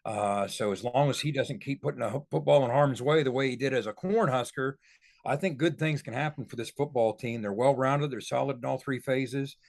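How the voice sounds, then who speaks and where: A man's voice: mid-range voice, a little fast, creaky, a little mellow, dry recording. A man giving casual football analysis as a podcast co-host, in a folksy, explanatory way.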